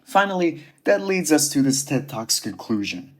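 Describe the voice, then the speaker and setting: A man's voice: on the high side, very animated, normal speed. A man narrating a casual scripted commentary in a sardonic, dismissive, casually profane way. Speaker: video creator narrating a commentary.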